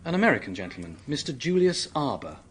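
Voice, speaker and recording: An actor playing an earnest, polite young British secretary who is anxious and hesitant, in a radio drama. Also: male voice, mid-range voice, sing-song, fast, a bit bright, a little hiss or hum. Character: earnest, flustered young English male secretary, helpful and anxious.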